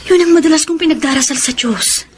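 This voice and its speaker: A woman's voice: high-pitched, quick pace, slight background noise, close to an everyday voice. A Filipino woman playing a devoted, lonely middle-aged wife in an emotional Tagalog radio drama. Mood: sad, earnest. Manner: plaintive, emotional. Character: long-suffering, devoted, lonely wife and mother.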